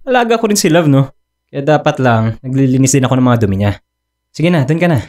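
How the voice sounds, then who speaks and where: A man's voice: mid-range voice, sing-song, fast, darkish tone, natural voice. An actor with a Filipino accent playing a caring, easygoing young husband who reassures his partner in a radio drama. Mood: reassuring. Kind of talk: Tagalog radio drama acting.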